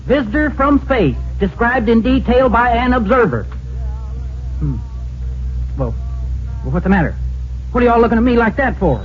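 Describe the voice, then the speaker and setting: A man playing an excitable, homespun sidekick in old-time radio drama. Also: very high-pitched, normal speed, pauses often, soft background music. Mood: excitable, puzzled. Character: excitable folksy male sidekick, homespun and easily puzzled. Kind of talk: 1940s-50s radio acting. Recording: muffled, hissy old radio recording.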